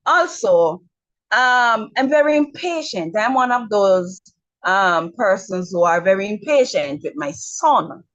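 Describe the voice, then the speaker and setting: A middle-aged woman with a Jamaican accent talks slowly and frankly, often doubling her words and filling gaps with ums. She is speaking over a video call, and the sound is slightly muffled and dull. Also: medium pitch, expressive, a bit bright. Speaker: webinar attendee. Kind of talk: casual remarks on a video call.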